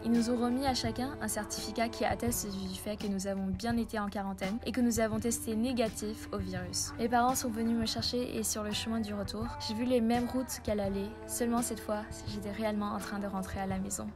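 Young woman narrating over music. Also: fairly high pitch. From Vietnam. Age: young adult. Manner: earnest, reflective, evenly paced reading. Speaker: vlogger. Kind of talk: scripted personal narration. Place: voice-over, not recorded in the pictured places. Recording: voice-over with clear background music. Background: music bed under the voice.

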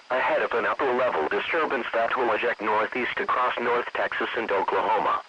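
A man's voice: mid-range voice, sharp tone. A man's synthetic-sounding voice reading a scripted weather bulletin in a stilted, evenly phrased way. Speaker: weather radio forecast announcer. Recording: muffled, noisy weather radio broadcast.

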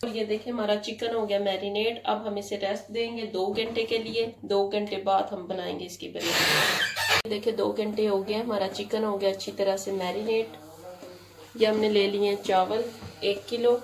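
A woman from Pakistan hosting a cooking channel, talking through a recipe step by step in a plain, even instructional tone. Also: medium pitch. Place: home kitchen. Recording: loud kitchen noise, frying and stirring. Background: sizzling and stirring in a pan.

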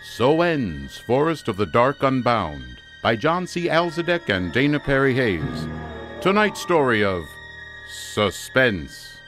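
Animated radio host announcer over music. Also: male voice, mid-range voice, slow. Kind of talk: scripted closing announcement, old-time radio style.

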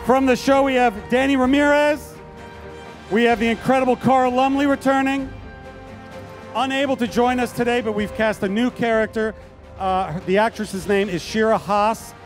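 Male stage presenter, middle-aged, high voice, live announcement style over music. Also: steady tone, moderate pace. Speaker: presenter at a fan convention. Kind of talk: stage announcements introducing guests. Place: large convention hall stage. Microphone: handheld microphone.

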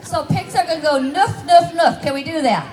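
A woman's voice: sits high, moderate pace. A woman playfully leading a children's circle dance at an outdoor festival, talking into a handheld mic. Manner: playful, animated instructions to a crowd. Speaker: folk dance leader. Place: grassy park at an outdoor festival. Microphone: handheld mic, filmed from a distance. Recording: distant, echoey, with music and crowd.

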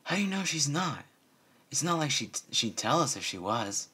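A man's voice: on the high side, very animated, normal speed, pushed and bright, breathy edge, voice pushed up, heavily acted. A man voicing an earnest, insistent young female unicorn pony with a suspicious streak, for a story reading.

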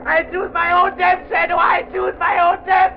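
An actor playing a scheming, megalomaniac army villain in a 1930s radio drama, with a theatrical, formal and sardonic delivery. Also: male voice, extremely high pitch, monotone, moderate pace, gravelly, raised voice, a faint crowd, a little hiss or hum. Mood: terrified. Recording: muffled 1930s radio broadcast recording.

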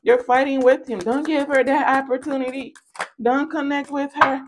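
A woman's voice: sits high, steady tone, normal speed, slight background noise. A woman hosting a casual tarot reading, talking in a playful storytelling way with slangy endearments. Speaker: tarot reader and channel host. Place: indoors at a card table.

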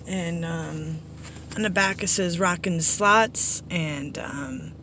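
A middle-aged woman with a hoarse, under-the-weather voice, giving a casual, rambling update to viewers in a low-key, apologetic way. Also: steady tone, slow pace, bright, forceful tone. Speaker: slots vlogger. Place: inside a parked car. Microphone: phone at arm's length. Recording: background noise inside a vehicle.

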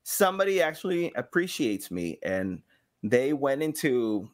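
A man in his thirties chatting casually as a podcast guest, telling stories in an animated way and acting out little quotes. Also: medium pitch, unhurried. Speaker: podcast guest, game studio engagement director and sound designer. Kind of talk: casual conversational storytelling. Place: small home room with guitars.

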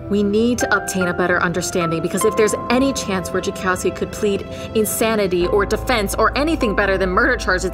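A woman's voice: medium pitch, lightly acted. An actress playing a stubborn, compassionate homicide detective in an audio drama, pleading her case in a principled, insistent way.

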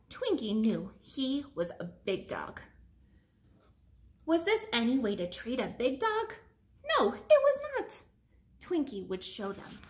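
A woman with a high voice reads a children's story aloud. Her intonation swings widely, and she phrases each line deliberately, leaning on key words for young listeners. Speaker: teacher reading a picture book aloud to pupils. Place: living room at home, indoors.

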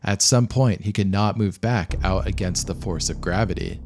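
A man reading scripted documentary narration of a true disaster story in a measured, matter-of-fact way. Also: on the low side, darkish tone, slight background noise. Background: background music.

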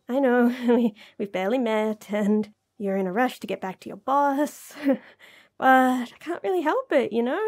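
A woman's voice: fairly high pitch, darkish tone. A woman playing a shy, smitten young bunny girl in a romantic audio roleplay, stammering and giggly as she rambles bashfully.

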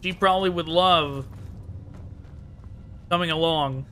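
A man's voice: a little high, takes it slowly, fairly bright tone, slight background noise. A man giving casual gameplay commentary as a gaming host, chatty and wry, thinking aloud.

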